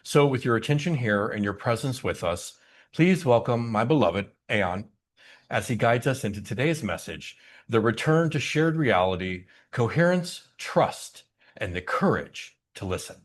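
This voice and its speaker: A man hosting a spiritual service, introducing the teaching in measured, deliberate phrases. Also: mid-range voice, animated. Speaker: service host.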